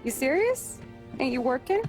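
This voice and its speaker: A woman's voice: high-pitched, sing-song, normal speed, over music. An actress playing a wry, teasing working-class wife who ribs her husband in a game cutscene. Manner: sarcastic, teasing.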